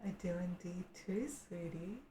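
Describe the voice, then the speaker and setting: A woman's voice: fairly low pitch, measured pace, warm tone. A woman reacting casually to game trailers in a playful, gushing way full of admiring exclamations. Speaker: gaming video reactor. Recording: roomy echo, game music behind. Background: game trailer music.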